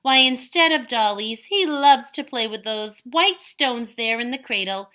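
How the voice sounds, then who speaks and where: A crafty giant's wife who hides her cleverness behind honeyed, coaxing words, as a woman storyteller plays her. Her voice is bright and lilting, rising and falling sweetly. A storybook heroine who outwits with charm. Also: fairly high pitch, lively intonation, unhurried, voice pushed up. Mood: sweetly scheming. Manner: sugary, coaxing.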